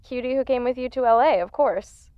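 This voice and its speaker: An actress playing a dry-witted, supportive assistant on a phone call in an audio drama, matter-of-fact and reassuring. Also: female voice, high voice, fairly quick, clean tone, no room sound. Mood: attentive. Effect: phone call filter. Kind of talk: naturalistic audio drama acting. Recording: slightly muffled phone-call sound.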